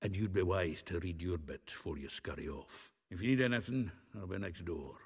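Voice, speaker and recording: A gruff, good-natured video game dwarf with a Scottish accent. The voice is low and thoroughly gravelly. He talks in a blunt, earthy, foul-mouthed way. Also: lively intonation, brisk, darkish tone, exaggerated voice. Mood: brisk, businesslike. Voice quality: raspy, gravelly.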